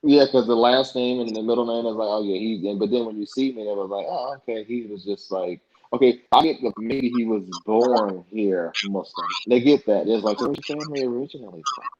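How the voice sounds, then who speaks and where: Young male podcast guest, low and husky, animated storyteller, muffled lo-fi sound. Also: a little fast, hardly any pauses. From United States. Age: young adult. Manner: animated, acts out past dialogue in colloquial speech. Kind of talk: casual interview storytelling. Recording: dull lo-fi podcast audio.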